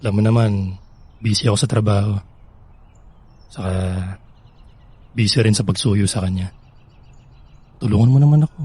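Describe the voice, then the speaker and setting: An actor with a Filipino accent playing a grieving, guilt-ridden young husband pleading in an emotional radio drama. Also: male voice, fairly low pitch, moderate pace, long pauses, a little mellow, husky. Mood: sorrowful, apologetic.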